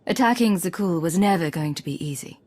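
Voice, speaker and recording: A woman's voice: fairly low pitch, lively intonation, a little fast, a little mellow. A woman playing a composed, decisive spy commander in a video game, with a dry manner.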